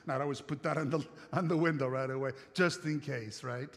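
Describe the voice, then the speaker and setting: A man's voice: medium pitch, fairly even intonation, fairly quick, vocal fry. A middle-aged man from Italy with an Italian accent, preaching a conversational sermon from a church stage and asking the congregation lots of questions. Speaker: pastor. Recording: long church hall reverb.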